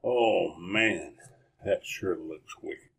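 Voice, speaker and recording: An actor playing a gruff, worried cowboy sidekick in a radio western, with a husky voice and an American Western accent. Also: male voice, low-pitched, very slow, fairly bright tone, heavily acted. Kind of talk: old-time radio western acting.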